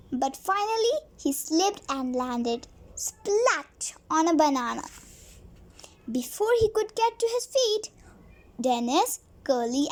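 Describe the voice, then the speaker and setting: A child reading a storybook aloud, stumbling over words and repeating phrases now and then. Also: high voice.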